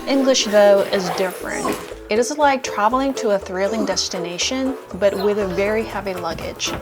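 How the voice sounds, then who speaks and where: A Korean woman narrating in English, her second language, in a measured, poetic voice-over with a Korean accent. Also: medium pitch, music in the background. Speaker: vlogger. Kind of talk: reflective scripted narration.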